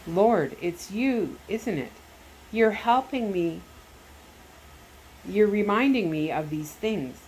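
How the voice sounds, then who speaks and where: A woman's voice: mid-range voice, lively intonation, slow pace, pauses often, a little mellow, slight background noise, low-quality recording. A woman voicing a troubled daughter who prays in a pleading, searching way. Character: troubled daughter praying earnestly while trapped.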